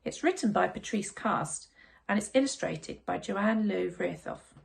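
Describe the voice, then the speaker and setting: Animated woman reading a children's story. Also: medium pitch, a little slow, gravelly. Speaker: primary school teacher reading a story. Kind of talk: expressive picture-book narration for children.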